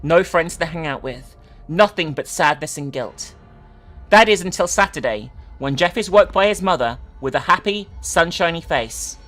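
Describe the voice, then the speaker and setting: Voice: medium pitch, animated, moderate pace, a bit bright, soft background music. A person giving a theatrical dramatic reading of an online horror story, with sweary, mocking asides. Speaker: online video host.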